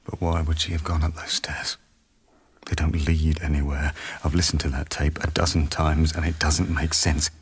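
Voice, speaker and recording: A man's voice: extremely low pitch, flat delivery, moderate pace, slightly rough, exaggerated voice. An actor playing an intense, obsessive male scientist full of grief in a radio drama. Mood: grieving, obsessive. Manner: intense, brooding, insistent.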